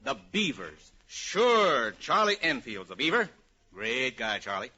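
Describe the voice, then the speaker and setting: An actor playing a blustering, boastful wheeler-dealer, rambling and self-important, in an old radio comedy. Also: male voice, medium pitch, sing-song, measured pace, sharp tone, full character voice. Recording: muffled old radio recording.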